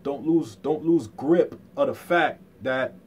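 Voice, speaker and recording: A man's voice: medium pitch, takes it slowly, darkish tone, a little hiss or hum. Emphatic man lecturing informally. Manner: emphatic, repeats key phrases for effect; colloquial, punctuated with rhetorical questions.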